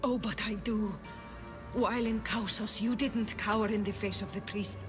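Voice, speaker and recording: An actress playing a solemn, fearful ancient oracle priestess, portentous and ceremonial, in a video game cutscene. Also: female voice, medium pitch, fairly even intonation, normal speed, music in the background, close to an everyday voice. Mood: grave warning.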